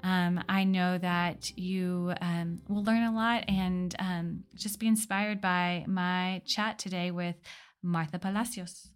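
A woman podcast host chatting and interviewing in a casual, animated storytelling manner. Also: on the low side, slow, clean tone.

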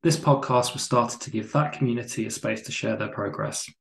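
A man's voice: medium pitch, brisk, vocal fry. A man hosting a casual podcast interview, chatty and self-deprecating. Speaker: podcast host. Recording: roomy echo.

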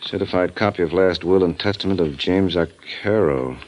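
A man's voice: low-pitched, normal speed, a little mellow, lightly acted. A man playing a suave wisecracking detective. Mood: wry. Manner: dry, flirtatious wisecracks. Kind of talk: 1940s radio acting. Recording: dull old radio recording.

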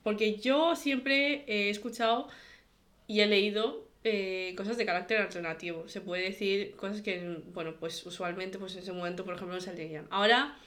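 A woman's voice: medium pitch, fairly even intonation, normal speed, a bit bright. A young woman from talks casually as a podcast guest, explaining things informally. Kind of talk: casual personal interview.

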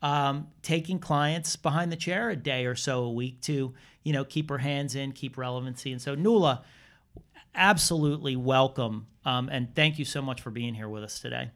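A man's voice: mid-range voice, moderate pace, fairly bright tone. A man hosting a podcast, introducing a guest in a rambling, enthusiastic way full of fillers. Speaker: podcast host.

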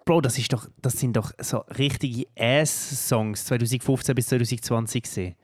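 A man's voice: medium pitch, moderate pace. A young man with a Swiss German accent, co-hosting a podcast in casual, slangy chat. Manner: slangy and animated, keeps saying "bro". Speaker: podcast co-host.

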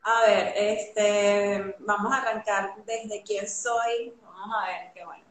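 A woman's voice: mid-range voice, takes it slowly, a little hiss or hum. Chatty Venezuelan woman in an echoey room. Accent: Venezuelan Spanish. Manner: chatty and animated, many fillers, laughs easily. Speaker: guest artist. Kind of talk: casual interview chat. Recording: roomy echo.

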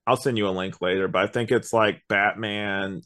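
A man with a fairly low voice talks as a podcast co-host in a casual review chat. He rambles through his explanations, often stopping and restarting a thought. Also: steady tone, normal speed, a bit bright.

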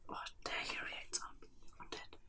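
A woman whispering breathily in an improvised ASMR role play, in halting, repetitive English with non-native phrasing. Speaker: podcast host.

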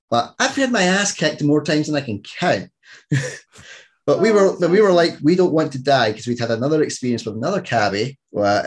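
A man's voice: mid-range voice, creaky, husky. Rambling Scottish man hosting a podcast. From Scotland. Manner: rambling, many restarts and "what" fillers. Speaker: podcast host. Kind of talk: casual interview chat.

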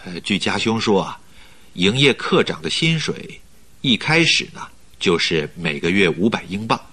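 An actor in a Mandarin radio drama playing a polite, flattering company recruiter who talks in a measured, persuasive way. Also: male voice, deep voice, slightly rough, exaggerated voice. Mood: coaxing. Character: smooth-talking male company recruiter, flattering, persuasive schemer.

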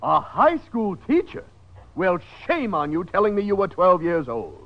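A muffled old radio comedy recording. a fussy male ticket official with a high, very animated voice, incredulous and exasperated. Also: normal speed. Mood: scandalized. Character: fussy, exasperated airline ticket official, suspicious and easily scandalized. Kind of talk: 1950s radio comedy acting.

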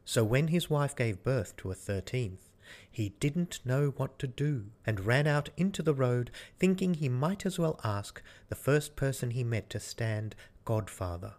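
A man's voice: medium pitch, animated, unhurried, darkish tone. A man reading a fairy tale as a storyteller, in scripted narration.

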